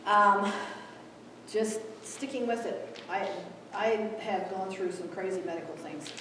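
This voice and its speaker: A woman who grew up in Ethiopia gives a lively conference talk and acts out bits of dialogue as she goes. Her voice is picked up from a distance and rings through a big echoey hall, with a steady hiss of room noise underneath. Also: lowish voice. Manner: animated, acts out quoted dialogue. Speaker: conference speaker. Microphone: distant room mic.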